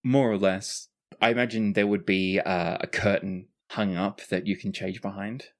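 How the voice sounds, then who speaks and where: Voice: low voice, steady tone, moderate pace. Game master telling a fantasy scene. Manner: casual, swears freely. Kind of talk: narrating a tabletop roleplaying game.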